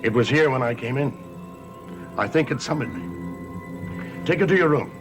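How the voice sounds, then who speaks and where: An older man acting a cryptic, haunted grandfather in a gothic television soap, speaking in a theatrical, measured, portentous way. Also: mid-range voice, lively intonation, over music, lightly acted. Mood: grave, brooding.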